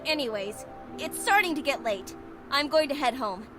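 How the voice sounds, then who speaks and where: A woman's voice: sits high, animated, moderate pace. A woman voicing a guarded, proud but hurt tsundere schoolgirl in a visual novel game. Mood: softened, shy. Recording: music behind the voice.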